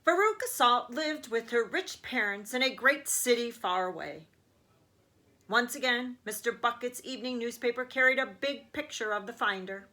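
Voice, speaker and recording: A woman's voice: on the high side, lively intonation, moderate pace, pushed and bright. A woman reading a children's story aloud as a teacher would, with emphatic storytelling phrasing.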